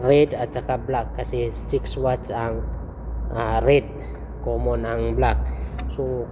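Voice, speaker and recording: A man with a Filipino accent presenting an informal hands-on tutorial, speaking haltingly in short phrases with pauses. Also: mid-range voice, noisy background.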